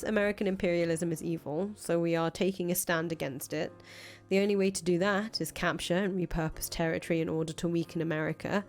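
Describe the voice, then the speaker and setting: A woman's voice: lowish voice, darkish tone, voice pushed down, lightly acted. Girl doing a bossy young rebel leader lady, foul mouthed, full of herself, visual novel style, music in back. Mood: preachy, certain. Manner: foul-mouthed, boastful, emphatic. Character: brash, grandiose, defensive young woman revolutionary leader. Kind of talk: visual novel character voice reading. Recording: music playing behind the voice.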